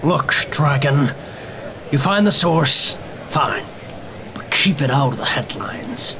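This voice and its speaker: A man's voice: mid-range voice, sing-song, takes it slowly, background noise. A man voicing a harried police captain in a noir radio play, grudging and curt. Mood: irritated.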